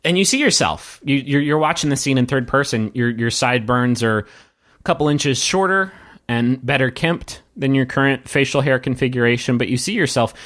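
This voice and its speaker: A man working as game master, improvising tabletop game narration and addressing the player directly in a halting, searching way. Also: mid-range voice, a bit bright.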